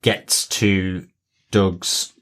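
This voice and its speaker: A man co-hosting a podcast in a casual discussion of TV, speaking in a halting but emphatic way. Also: sits low, flat delivery, a bit bright, smooth. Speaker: podcast co-host.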